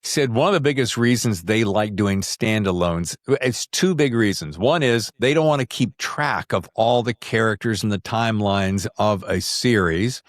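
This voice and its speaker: A man's voice: lowish voice, animated, normal speed, few pauses, dry recording, clean studio sound. A man hosting a casual interview podcast, chatty, with rambling lead-ins to his questions. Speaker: podcast host interviewing an author.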